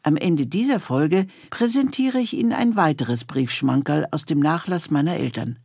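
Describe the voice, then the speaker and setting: High-pitched, gravelly man presenting. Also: expressive, moderate pace, a little mellow, no room sound, clean studio sound. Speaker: presenter introducing the episode. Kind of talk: scripted introduction.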